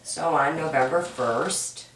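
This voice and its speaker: A woman with a low, hoarse, raspy voice from a cold walks through homework problems one step at a time. She is patient and keeps checking in with "right?". The recording sounds roomy and distant, as if picked up from across the room. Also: slow pace, slight background noise. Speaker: accounting instructor. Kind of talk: homework walkthrough lesson. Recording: roomy echo, mic at a distance.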